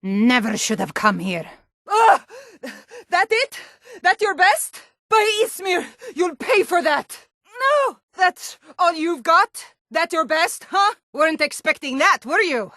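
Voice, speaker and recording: A woman's voice: extremely high pitch, very animated, takes it slowly, bright, forceful tone, no room sound, studio quality, heavily acted. A woman voicing a tough, blunt female Nord warrior guard in a fantasy video game, stern, taunting and combative. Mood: aggressive, taunting.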